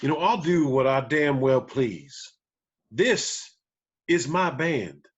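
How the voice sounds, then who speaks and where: Actor as stubborn, hard-drinking bandleader, gruff, folksy, mid-range voice with lively swings, for a screenplay read; slightly muffled audio. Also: male voice, medium pitch, takes it slowly. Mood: defiant. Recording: slightly dull, lo-fi sound.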